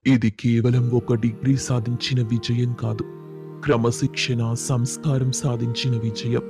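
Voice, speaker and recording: A man's voice: medium pitch, little pitch movement, measured pace, over music, natural voice. A man playing a proud villager making an admiring announcement in a moral story. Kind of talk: dramatised moral story acting.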